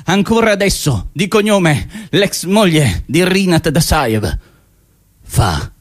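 A man performing a comic theatrical radio monologue, declamatory and emphatic, stretching some words and rushing others. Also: fairly high pitch, big pitch swings. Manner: declamatory, emphatic, with drawn-out words and sudden rushes. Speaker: actor, monologist.

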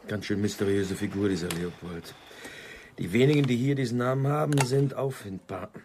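A man acting in a radio drama as a measured investigator who muses over clues in a reserved way. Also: lowish voice, a little mellow, slight background noise, natural voice. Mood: pensive. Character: measured, pensive male investigator weighing clues.

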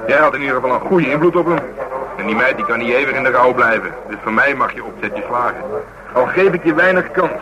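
An actor playing a burly, blunt, stubborn police detective in a radio play, talking gruffly and colloquially. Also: male voice, medium pitch, expressive, fast, a loud crowd, close to an everyday voice, poor audio. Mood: teasing. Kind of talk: naturalistic radio play dialogue.

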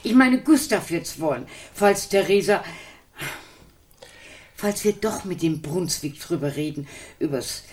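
A woman's voice: fairly low pitch, animated, a little slow, darkish tone. An actress playing an uneasy but practical, motherly woman in a German radio drama. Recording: kitchen clatter behind the voice.

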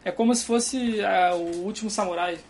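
A man's voice: high voice, moderate pace, slight background noise. A young man from Brazil with a Carioca accent, chatting casually and rambling, laughing often, talking from a dorm room. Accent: Carioca Brazilian Portuguese. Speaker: guest. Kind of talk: casual chat.

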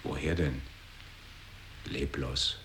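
A man's voice: very deep voice, steady tone, drawn-out words, vocal fry, fairly bright tone, a little hiss or hum, heavily acted. An actor in an experimental radio play, playing an evasive one-legged man with a terse, questioning way of talking.

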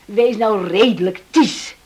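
A woman's voice: mid-range voice, takes it slowly, darkish tone, breathy edge. A woman acting in a Dutch radio drama as a determined island mother, earnest and pleading but stubborn. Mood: pleading, resolute. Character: determined island mother rallying a lifeboat crew for her son.

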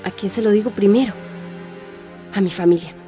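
A woman's voice: medium pitch, normal speed, mellow, soft background music, natural voice. A woman acting an anguished, lonely young pregnant woman in a radio soap opera, with an emotional, confiding delivery full of sighing exclamations. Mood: anxious.

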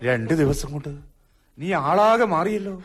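A meek, worried father in a radio play. His voice is husky and moves a good deal in pitch, deferential and hesitant in its phrasing. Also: lively intonation, moderate pace. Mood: concerned. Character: worried, meek visiting father, middle-aged. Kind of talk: radio drama acting.

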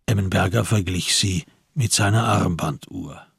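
A man as the sober narrator of a radio crime drama, telling the story in measured literary prose. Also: low voice, gravelly, a bit bright, slightly rough, dry recording, studio quality, full character voice.

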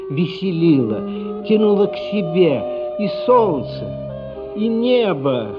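Male narrator for radio drama: fairly high voice, unhurried, dramatic literary delivery. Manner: expressive, dramatic, deliberate phrasing. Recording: dull lo-fi radio play, music underneath. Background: music.

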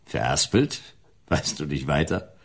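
Noir detective, extremely low voice, creaky gravel, terse and laconic, sci-fi radio play. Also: male voice, sing-song, measured pace, noisy background, full character voice. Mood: sardonic. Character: gruff, hard-boiled male detective, down on his luck. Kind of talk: German sci-fi radio drama acting and narration.

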